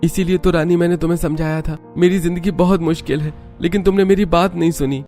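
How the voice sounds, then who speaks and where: A man acts out an apologetic young Indian husband from a cartoon tale. His voice is a bit high and tender in manner. Light music runs underneath. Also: steady tone, fast talker, mellow, close to an everyday voice. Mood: regretful. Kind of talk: Hindi cartoon story dialogue.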